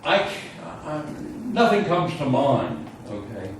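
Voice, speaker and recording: Echoey, halting man lecturing from afar. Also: medium pitch, big pitch swings. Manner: halting, thinks aloud, frank about not knowing. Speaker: university physics lecturer. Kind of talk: informal classroom lecture with student questions. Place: lecture room, mic away from speaker. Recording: roomy echo, distant mic, loud room noise.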